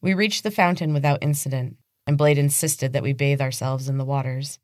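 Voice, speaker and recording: A woman's voice: very deep voice, steady tone, a little fast, a little mellow, dry recording, studio quality. A woman reading fantasy fiction aloud as a dramatic, scripted audiobook narration. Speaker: podcast host and author reading own novel.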